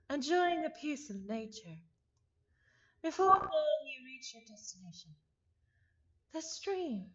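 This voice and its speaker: Bright, high-voiced woman reading aloud expressively. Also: lo-fi sound. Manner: expressive, breaks off narration to exclaim and repeat words in exasperation. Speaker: streamer reading a visual novel aloud. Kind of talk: live visual novel read-aloud with chatty, excitable commentary.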